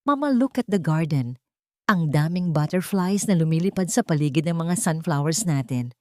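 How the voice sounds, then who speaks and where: A cheerful little girl with a Filipino accent as a woman storyteller plays her, lively and affectionate. She talks excitedly in an audio story. The sound is close and dry. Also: low voice, moderate pace, no echo. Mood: cheerful, delighted.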